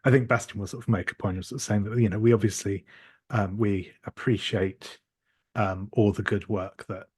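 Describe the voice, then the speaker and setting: A man with a deep, rough-edged voice plays in a tabletop roleplaying game, recorded dry and without room sound. He improvises casually in halting, diplomatic phrases. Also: fairly even intonation, a little mellow, no room sound.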